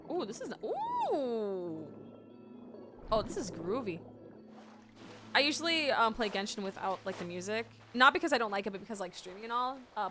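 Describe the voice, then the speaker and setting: A young woman streamer giving casual, giggly, excitable commentary while playing a game. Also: mid-range voice. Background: game music.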